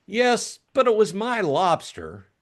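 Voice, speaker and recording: A man voicing a flustered, indignant young upper-class gentleman in an audiobook, protesting as he talks. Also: high voice, wildly swooping pitch, takes it slowly, pitched above the performer's own voice, heavily acted. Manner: protesting, stammering repetition. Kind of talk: audiobook character acting.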